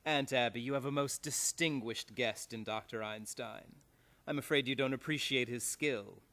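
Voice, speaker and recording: An actor playing a menacing, domineering criminal nephew with formal, commanding speech, in an old-time radio play. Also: male voice, mid-range voice, moderate pace, sharp tone. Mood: coldly commanding.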